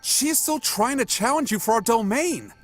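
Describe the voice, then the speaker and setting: An uptight young male guardian, played by a woman, forever protesting. The delivery is anxious, insistent and very lively in pitch, an audio drama performance over soft music. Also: normal speed. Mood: indignant.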